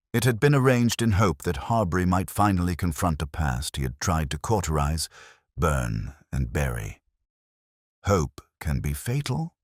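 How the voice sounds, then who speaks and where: A man narrating a scripted first-person detective story in a measured, solemn, literary manner. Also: extremely low pitch, no echo, clean studio sound.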